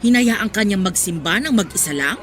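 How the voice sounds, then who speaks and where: A woman's voice: medium pitch, animated, moderate pace, lightly acted. Actress as a nosy, scolding village churchwoman. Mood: scolding, pious. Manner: prying, admonishing, emphatic. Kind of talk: Filipino radio drama acting.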